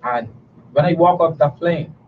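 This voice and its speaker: A man from the Bahamas with a Bahamian accent, a guest on a talk show pitching his herbal products earnestly, often restarting his sentences. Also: normal speed. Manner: earnest, persuasive, with frequent restarts and fillers. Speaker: guest, natural herbal products business owner. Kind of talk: promotional talk show interview. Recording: distant mic, dull sound, background noise.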